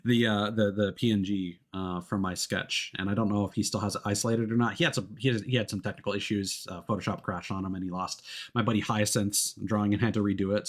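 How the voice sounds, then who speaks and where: A man chatting casually to viewers on a livestream in an easygoing, rambling way. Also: on the low side. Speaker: VTuber livestreamer. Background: music.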